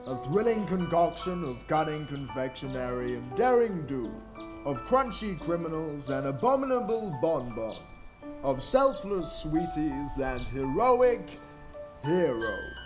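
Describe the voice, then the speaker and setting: A grandiose storybook narrator played by a man, pitched fairly high. He savours each alliterative phrase theatrically, with music clearly playing underneath in a theatre recording. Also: big pitch swings, measured pace. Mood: grand, savouring.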